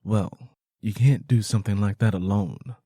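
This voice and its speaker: Man acting a low, steady, weary Southern man. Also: normal speed, husky, dry recording, studio quality. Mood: gentle, steady. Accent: American South. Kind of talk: audiobook character dialogue.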